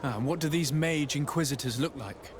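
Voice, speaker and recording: A man's voice: on the high side, brisk, noisy background, natural voice. A man voicing a composed, diplomatic emissary in a video game, polite, formal and probing. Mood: polite, inquiring.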